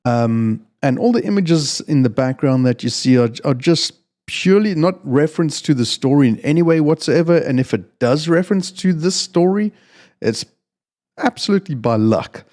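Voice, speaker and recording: A man's voice: a little high, expressive, normal speed, darkish tone. A man presenting and narrating fiction as a storyteller. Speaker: storyteller, channel host reading own fiction. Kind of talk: casual introduction, then audiobook-style story narration.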